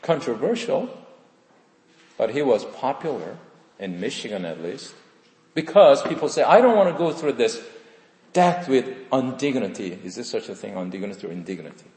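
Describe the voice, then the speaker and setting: A man from South Korea with a Korean accent, preaching a sermon in earnest, rising for stress and dropping low at the end of phrases. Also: lively intonation, normal speed, darkish tone. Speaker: pastor. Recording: echoey hall with long reverb.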